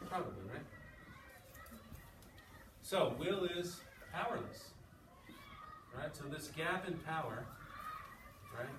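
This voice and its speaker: A male schoolteacher with a slightly high voice, talking informally to his class as he reads and discusses. He is some way from the mic in an echoey classroom with clear background noise. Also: lively intonation, slow pace, long pauses, recorded from a distance. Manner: informal, checking with listeners. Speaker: sixth-grade English teacher. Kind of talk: classroom read-aloud and discussion.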